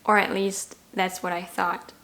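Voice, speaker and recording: A woman's voice: on the low side, little pitch movement, a little slow, a bit bright. A woman in her twenties from Germany, a native German speaker with a German accent, telling her own story in a reflective, candid, conversational way to camera. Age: 20s. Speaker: language-learning vlogger.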